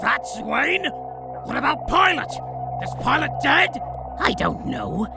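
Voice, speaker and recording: An actress voicing a strange sea creature that speaks in halting broken English inside a man's head. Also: sits high, huge pitch swings, creaky, full character voice. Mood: startled, bewildered. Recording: heavy music bed. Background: music and whale-like sonar sounds.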